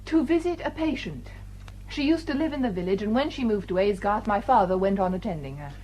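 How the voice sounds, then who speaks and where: A woman playing a brisk, defensive village doctor in a radio drama, clipped and guarded in manner. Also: medium pitch, animated, warm tone, close to an everyday voice. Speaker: actor.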